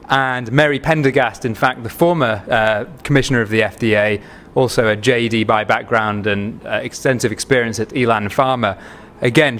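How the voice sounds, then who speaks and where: A man with a Manchester accent, a company chief giving a chatty investor pitch full of ums and restarts. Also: a bit bright. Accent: Manchester English. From England. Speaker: company CEO presenting to investors. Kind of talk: conference pitch presentation. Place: conference room.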